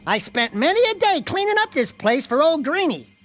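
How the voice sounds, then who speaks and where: Cocky little critter sidekick, very high, bright and animated, mouthy taunts, faint music behind. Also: male voice, moderate pace, slight background noise, full character voice. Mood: brash, taunting. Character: cocky wisecracking small furry animal sidekick, male. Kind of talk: video game cartoon voice acting.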